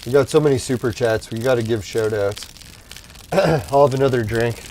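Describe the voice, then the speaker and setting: A man with a middle-pitched voice that is wearing out, chatting loosely with his stream viewers. He rambles in a laid-back way and laughs often. Faint noise sits under the voice. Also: normal speed, a little mellow. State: losing his voice. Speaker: livestream host. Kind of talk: casual chat with live viewers.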